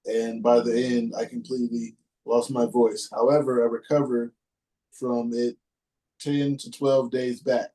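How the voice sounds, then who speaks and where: A male podcast host with a mellow, mid-pitched voice. He chats loosely and reads long passages aloud in a halting, even manner. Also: rough recording. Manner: laid-back, reads in a halting steady way. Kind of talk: casual chat and reading a listener post aloud.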